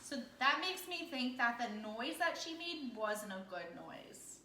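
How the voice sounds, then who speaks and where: A woman with a fairly high voice reads a storybook as a teacher would to young children. She keeps her phrasing simple and pauses to ask questions. The room is echoey, with a little noise behind her. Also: big pitch swings, pushed and bright. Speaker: elementary teacher. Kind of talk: interactive picture-book read-aloud with questions.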